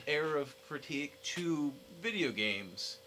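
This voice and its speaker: A man's voice: a little high, sing-song, slow, bright, forceful tone, a little hiss or hum, low-quality recording. A young man hosting a casual podcast discussion. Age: young adult. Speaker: podcast host.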